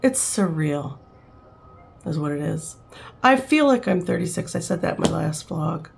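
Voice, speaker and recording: A woman's voice: low-pitched, expressive, moderate pace, creaky. A woman in her fifties, rambling and chatty, talking casually to camera at home, with frequent laughs and fillers. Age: 50s. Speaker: vlogger.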